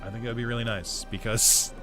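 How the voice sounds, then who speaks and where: A man's voice: medium pitch, steady tone, brisk. Rambling joking man over game music. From northeastern United States. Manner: rambling, joking, swears, laughs often. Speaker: streamer. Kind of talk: casual gaming chat.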